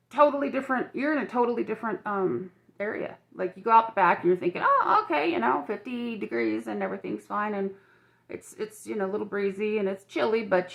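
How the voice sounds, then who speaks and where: An older woman with a croaky, dry-mouthed voice, chatting frankly and rambling with many restarts in a personal vlog filmed on her phone at home. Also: medium pitch, a bit bright. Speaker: vlogger.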